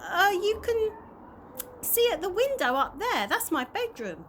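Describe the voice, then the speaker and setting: A woman's voice: very high-pitched, very animated, measured pace, fairly bright tone, voice pushed up, exaggerated voice. A woman voicing a kind, polite, slightly nervous young girl in a storybook reading, with some noise on the recording. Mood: helpful. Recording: noisy amateur home recording.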